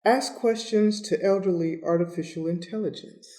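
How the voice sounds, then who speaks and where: A woman playing an earnest pitchperson with a deliberate sales delivery in an improvised comedy commercial. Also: mellow, natural voice.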